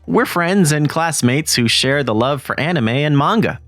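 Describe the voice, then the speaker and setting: A man's voice: a little high, expressive, normal speed, a bit bright, dry recording, faint music behind. A man narrating a manga story in first person, getting flustered and jumpy. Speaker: narrator and lead voice in a manga dub.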